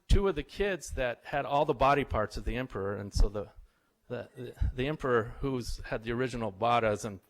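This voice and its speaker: A middle-aged man lecturing to a live audience in a relaxed, anecdotal way. He wanders through stories, restarts his sentences and keeps checking in with listeners. His voice sits at an ordinary, mid-range pitch for a man. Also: poor audio. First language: English. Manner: chatty, anecdotal, asks the audience questions. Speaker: visiting professor giving a talk.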